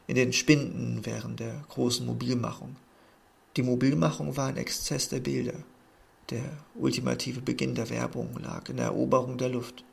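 A man reading a literary text aloud in a measured, earnest way. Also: mid-range voice, creaky, husky. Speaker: narrator.

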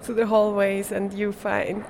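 Slow, halting young woman from Switzerland. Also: medium pitch, monotone, creaky, darkish tone, a little hiss or hum. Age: young adult. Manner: hesitant, searching for words, with small laughs. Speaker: performance artist, art student being interviewed. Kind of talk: casual interview in non-native English.

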